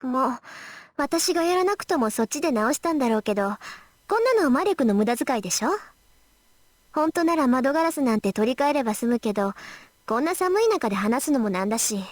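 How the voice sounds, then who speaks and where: A woman voicing a confident, sharp-witted teenage schoolgirl magus in a Japanese visual novel. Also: high voice, animated, racing, dry recording. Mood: brisk, self-assured.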